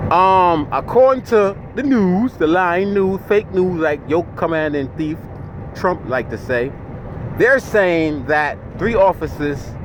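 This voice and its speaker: Agitated Jamaican man ranting while driving. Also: fairly high pitch. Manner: agitated, profane, repeats and restarts words. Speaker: vlogger. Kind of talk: ranting commentary to camera. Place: inside a large vehicle, driving. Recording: faint road noise from car.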